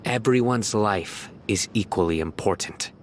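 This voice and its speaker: An actor voicing a stern, principled, disciplined general of enforcers in a video game, formal and measured. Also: male voice, lowish voice, slight background noise, close to an everyday voice. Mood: grave, measured.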